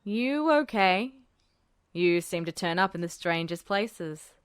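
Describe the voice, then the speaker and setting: A woman voicing a gruff, wry but kindly male police officer in a story reading, speaking in a casual, conspiratorial way. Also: lowish voice, animated, slow, no room sound, clean studio sound, pitched below the performer's own voice. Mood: wry concern. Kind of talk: fan fiction audiobook character voice.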